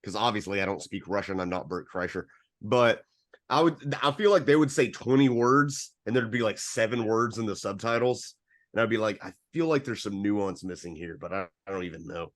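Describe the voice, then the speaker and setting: A man with a fairly low voice talks casually as a podcast host. He is earnest and rambling, often breaking off and restarting a thought as he goes. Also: expressive, brisk. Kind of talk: casual film discussion.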